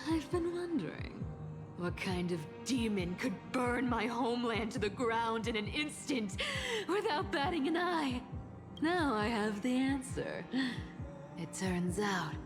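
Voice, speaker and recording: Slow, sardonic, defiant thief. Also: female voice, fairly high pitch, sing-song. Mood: bitter scorn. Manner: poised, sardonic, dramatic. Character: cunning, defiant young woman thief. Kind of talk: dramatic video game cutscene acting. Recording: music behind the voice.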